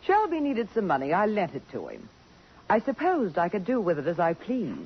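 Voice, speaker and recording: A woman's voice: mid-range voice, wildly swooping pitch, moderate pace, husky, heavily acted. An actress playing a genteel, defensive society woman in a 1950s radio drama, proper and emphatic in her delivery. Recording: dull, worn 1950s radio recording.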